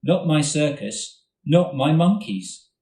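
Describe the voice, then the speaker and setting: Brief: unbothered stubborn boy with broken English, slow and deliberate, raised voice, as a male reader puts him on, roomy and distant. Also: on the high side, lively intonation, darkish tone, voice pushed up. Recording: roomy hall, mic at a distance.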